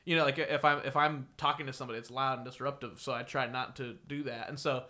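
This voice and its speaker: Loud, laughing male podcast host. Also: medium pitch, steady tone, quick pace. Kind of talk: casual interview chat.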